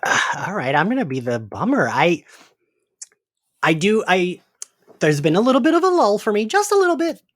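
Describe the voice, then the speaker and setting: A man hosting a podcast in casual chat, with an animated, self-deprecating manner. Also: high-pitched, normal speed, long pauses, no echo, clean studio sound. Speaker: podcast host.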